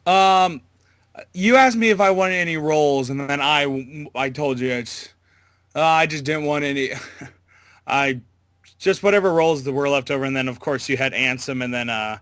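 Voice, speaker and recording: A man chatting casually as an interview guest, with a halting, stammering way of talking that often backtracks. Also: a little high, a bit bright, no echo, clean studio sound. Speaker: interview guest, amateur voice actor. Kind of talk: casual chat.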